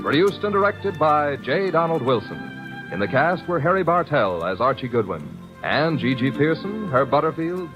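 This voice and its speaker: A man's voice: medium pitch, lo-fi sound. Old-time radio announcer guy, formal, sing-song delivery, some music under it. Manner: formal, crisp and lively.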